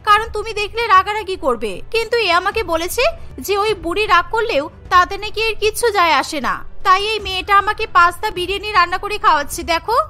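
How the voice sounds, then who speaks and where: Woman as a very high-pitched spoiled young granddaughter. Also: brisk, bright, forceful tone. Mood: accusing, pleading. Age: young adult. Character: spoiled, sulky young city granddaughter, greedy and scheming. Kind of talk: cartoon story character voice. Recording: music behind the voice.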